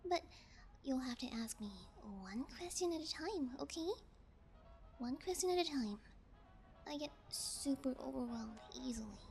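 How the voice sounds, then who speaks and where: A woman's voice: sits high, animated, normal speed, a little mellow, soft background music. A woman voicing a playful, sociopathic young female fiend kidnapper in an ASMR roleplay, with sugary taunts and theatrical pouting. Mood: teasing, threatening.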